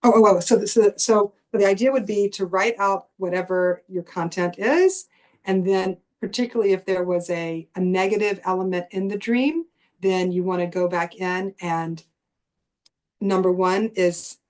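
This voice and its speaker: A woman's voice: medium pitch, normal speed, a little mellow. Encouraging woman leading a writing circle. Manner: encouraging, loosely phrased with frequent restarts. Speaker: host and writing group leader. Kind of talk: guiding a spiritual writing workshop.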